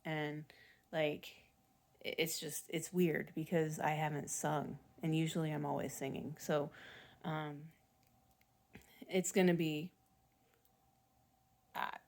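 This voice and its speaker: A woman in her forties with a raspy voice, chatting in a rambling, casual way as a family vlogger. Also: sits low, level tone, slow pace, long pauses, a little mellow. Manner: chatty, rambling, self-deprecating with small laughs. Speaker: vlogger mom. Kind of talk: rambling casual vlog chat.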